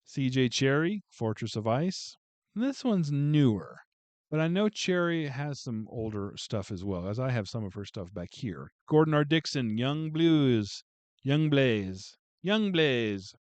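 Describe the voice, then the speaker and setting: A man's voice: medium pitch, lively intonation, a little slow, dry recording. Chatty man showing off a book haul. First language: English. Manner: chatty, joking asides to viewers. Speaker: booktube vlogger. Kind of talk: casual book haul chat.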